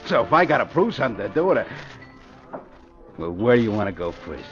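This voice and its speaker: A man's voice: medium pitch, sing-song, a little fast, close to an everyday voice. Man playing a brash, swooping, boastful racketeer. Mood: wooing, cocky. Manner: brash, slangy tough-guy talk. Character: boastful, tough, slangy racketeer wooing a woman. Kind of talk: old-time radio crime drama acting. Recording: muffled vintage radio with music behind.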